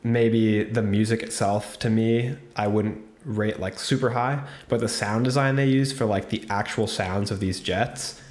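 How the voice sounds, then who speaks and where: A man's voice: lowish voice, fairly even intonation, a little slow, hardly any pauses, reverberant. A man co-hosting a casual movie review podcast, chatting with enthusiasm. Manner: chatty, enthusiastic, lists cast members. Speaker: podcast co-host.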